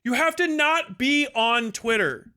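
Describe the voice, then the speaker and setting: High-pitched, bright-voiced man ranting profanely. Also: lively intonation, a little slow, clean tone. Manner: exasperated, scornful, heavy swearing. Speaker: livestream host reacting to a debate. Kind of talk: casual profane commentary.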